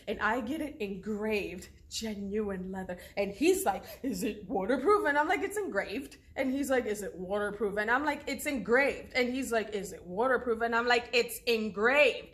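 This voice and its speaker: An actress plays a frantic, jilted woman in her thirties with a fairly high voice. She is wry and desperate, firing through a repetitive back-and-forth in a comic-dramatic stage monologue. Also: animated, measured pace. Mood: wry, scornful.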